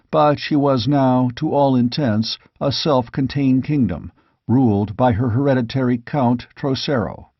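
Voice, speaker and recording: A man's voice: medium pitch, dry recording, highs cut off. A man narrating a fantasy novel as a scripted audiobook.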